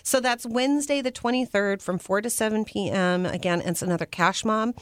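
A woman's voice: medium pitch, a little fast, no echo, clean studio sound. A woman hosting a local radio talk show, chatting casually and joking with a guest. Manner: joking, laughs often.

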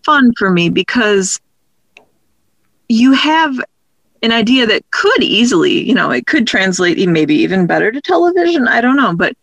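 A woman's voice: on the high side, very animated, normal speed, fairly bright tone, no room sound. A woman interviewed as a writer, chatting informally and tentatively as she thinks aloud. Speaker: audio drama writer, interview guest. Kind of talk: casual interview chat.

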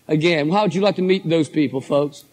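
A middle-aged man with a hoarse voice, giving an enthusiastic, folksy motivational talk to a live audience. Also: fairly high pitch, a little fast, a little mellow, rough recording. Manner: folksy, enthusiastic, addresses crowd as guys and folks. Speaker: motivational speaker at a business convention.

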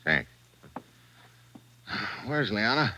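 An actor playing a wry, tough American adventurer, slangy and clipped, in a 1950s radio adventure style. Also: male voice, on the low side, big pitch swings, very slow, sharp tone, heavily acted, poor audio. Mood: relaxed, flirtatious.

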